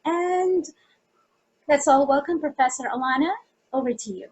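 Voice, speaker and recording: A woman's voice: sits high, moderate pace, darkish tone, dull audio. A woman moderating an online masterclass over a video call, reading polite, scripted announcements. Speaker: webinar moderator. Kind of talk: formal event hosting.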